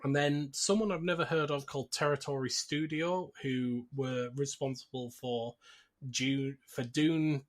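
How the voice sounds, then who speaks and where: A man with a mid-pitched voice hosts a casual film podcast. He talks informally, with a wry sense of humour, as he discusses and recaps. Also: fairly even intonation, unhurried. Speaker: podcast host.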